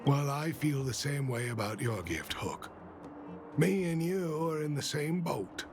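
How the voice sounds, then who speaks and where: Gravelly, expressive doting father. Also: on the high side, unhurried. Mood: affectionate. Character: gentle, doting working-class father, tenderly apologetic. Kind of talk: video game voice acting. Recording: game music faintly behind the voice.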